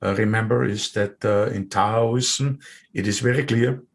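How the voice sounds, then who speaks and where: Low-voiced Austrian host. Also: male voice, steady tone, unhurried, creaky. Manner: friendly, hesitant with fillers, backchannels. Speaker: podcast host. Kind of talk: interview conversation.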